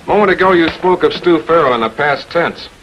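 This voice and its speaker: An actor playing a cool, authoritative public prosecutor in a 1940s American TV crime drama, measured, probing and businesslike. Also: male voice, fairly high pitch, natural voice. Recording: dull, noisy 1940s film soundtrack.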